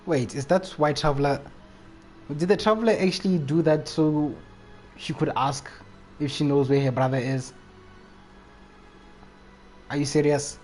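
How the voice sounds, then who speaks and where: A man's voice: on the high side, a little slow, pauses often, a little mellow, faint music behind. A man doing casual live game commentary and chatting with viewers in a playful, rambling, reactive way. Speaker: game livestreamer.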